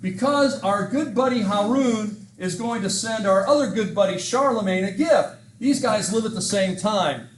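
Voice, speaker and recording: A man's voice: high voice, expressive, moderate pace, hardly any pauses. A middle-aged man lecturing a history class, chatty and self-mocking, rambling between jokes, recorded on a laptop mic. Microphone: laptop mic at a lectern. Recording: echoey classroom with background hiss.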